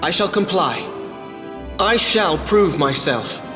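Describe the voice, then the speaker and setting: A man's voice: high-pitched, lively intonation, takes it slowly. A man playing a proud, honorable young prince in a fantasy game, formal and resolute. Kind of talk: fantasy video game voice acting. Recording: echoey hall reverb, music behind.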